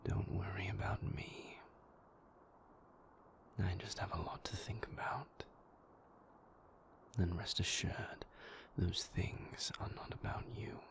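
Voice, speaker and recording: A very low, gravelly male vampire, acted by a man, murmuring close in half-whispers for an intimate audio roleplay. He is brooding and dour yet tender, and unfolds his formal phrases very slowly. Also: fairly even intonation, full character voice.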